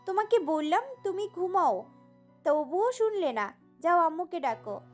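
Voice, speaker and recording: A woman's voice: very high-pitched, expressive, unhurried, darkish tone, soft background music. A woman playing an irritable, stubborn young wife in pain in an audio story, snappish and protesting. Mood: irritated, snappish. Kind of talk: Bengali audio story acting.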